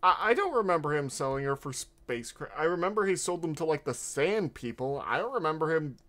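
A man's voice: fairly high pitch, expressive, a little fast, fairly bright tone. A man casually commenting on a gameplay video, rambling and stumbling over his words as he corrects himself. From half Mexican. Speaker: vtuber reacting to a gameplay video.

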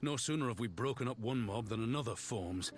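An actor playing a stern, weary, middle-aged warrior general in a video game, speaking formally and with measured gravity. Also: male voice, mid-range voice, faint music behind, lightly acted. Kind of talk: scripted video game dialogue.

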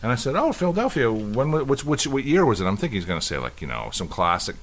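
A man's voice: medium pitch, sing-song, fast. A man in his fifties co-hosting a casual podcast chat, telling long rambling stories with many restarts. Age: 50s. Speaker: podcast co-host.

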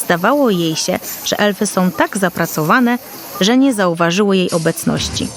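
A woman's voice: mid-range voice, expressive, normal speed. A woman storyteller reading a scripted Christmas fairy tale for children.

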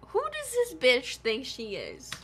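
A woman livestreamer giving casual, animated, slangy commentary while playing a game. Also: high voice, measured pace, a little hiss or hum. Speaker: gaming livestreamer. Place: small indoor room at home.

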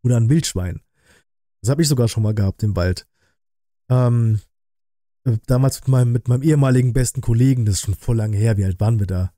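A man in his thirties with a very dark, mellow voice of middling pitch. He comments casually as a reaction host, using colloquial, slangy wording. The sound is close and dry. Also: a little fast, no echo.

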